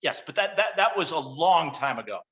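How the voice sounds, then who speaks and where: A man's voice: on the high side, sing-song, fairly quick, a bit bright, muffled recording. An actor playing an evasive politician under pressure in a script read, stammering and flustered as he talks. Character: cornered, evasive male senator running for president. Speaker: actor in a virtual table read. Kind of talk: screenplay table-read acting.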